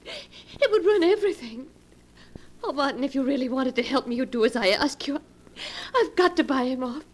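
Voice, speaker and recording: An actress playing an anxious, desperate married woman in melodramatic 1940s radio style, with halting, breathless pleading. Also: high-pitched, lively intonation.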